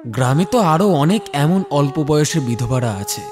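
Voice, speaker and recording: A man's voice: on the high side, animated, moderate pace. A man giving a dramatic scripted narration as the storyteller of a horror tale. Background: music bed.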